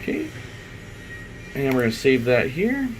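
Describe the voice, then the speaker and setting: A man's voice: medium pitch, wildly swooping pitch, a little slow, soft background music, poor audio. A man giving an informal, unscripted step-by-step tutorial, thinking aloud as he works. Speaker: IT installer giving a software tutorial. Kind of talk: unscripted step-by-step screen walkthrough.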